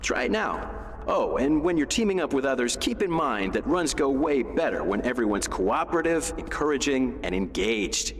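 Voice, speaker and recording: A man's voice: mid-range voice, sing-song, moderate pace. A man playing a friendly, encouraging agency quartermaster in a video game, giving casual tutorial instructions. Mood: friendly, instructive. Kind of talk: scripted game tutorial dialogue.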